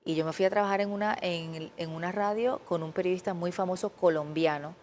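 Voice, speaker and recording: A woman's voice: fairly low pitch, a little fast, a little hiss or hum. A woman in her thirties with a Puerto Rican accent, a TV journalist telling her story earnestly as a guest in a studio interview. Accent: Puerto Rican Spanish. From Puerto Rico. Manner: earnest, animated, quotes her own past thoughts.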